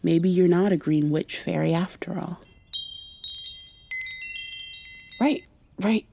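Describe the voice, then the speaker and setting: A woman in an ASMR roleplay playing a gentle, wry young witch who coaxes her plants in a soft-spoken way. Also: fairly low pitch, normal speed, gravelly, noisy background. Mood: wry, coaxing.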